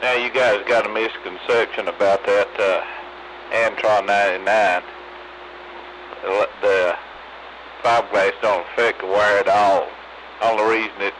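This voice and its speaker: A man's voice: lowish voice, a bit bright. A man talking casually over CB radio. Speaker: CB radio operator. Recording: very noisy CB radio transmission.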